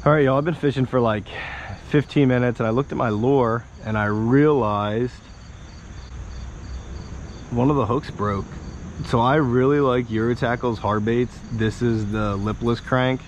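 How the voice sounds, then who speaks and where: A man's voice: mid-range voice, steady tone, unhurried, lo-fi sound. An American man in his thirties chatting casually and folksily to viewers as a fishing vlogger, outdoors on an action camera. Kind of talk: casual vlog chat while fishing. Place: outdoors by a small wooded creek. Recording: outdoor noise with insects and ambience.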